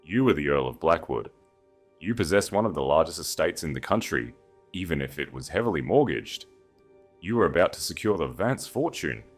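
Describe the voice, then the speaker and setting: A man's voice: very deep voice, big pitch swings, soft background music, exaggerated voice. A man voicing a cold, calculating, contemptuous aristocratic woman with clipped disdain in a dramatic audiobook story. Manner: icy, slow, clipped disdain.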